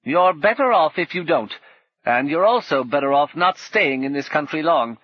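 A bitter, rueful old woman, as a male narrator puts her on speaks up emphatically, her voice lifted above his own and pushed a little louder. The tone is husky, and the recording is dull and lo-fi. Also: a little high, animated, normal speed, dry recording, voice pushed up. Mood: wry warning. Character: rueful old woman fallen on hard times.

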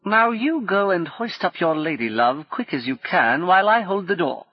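A man's voice: sits high, big pitch swings, normal speed, husky, dull audio, voice pushed up, full character voice. A man voicing a bold, reckless male adventurer with hearty, clipped upper-class bluster, in an audiobook. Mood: brisk. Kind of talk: audiobook character voice.

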